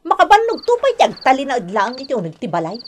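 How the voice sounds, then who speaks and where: A woman acting a lazy, scolding young wife and harsh aunt in a radio drama, sharp and complaining. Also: high voice, wildly swooping pitch, fast talker, slight background noise. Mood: defiant, complaining.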